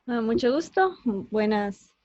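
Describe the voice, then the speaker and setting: A woman with a slightly high voice speaks as an interview guest, explaining her work. She comes from Ecuador and grew up in the United States. The sound is very dry but somewhat muffled and lo-fi. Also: lively intonation, takes it slowly, a little mellow, no echo. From born in Ecuador, raised in the United States. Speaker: interview guest, teacher and columnist.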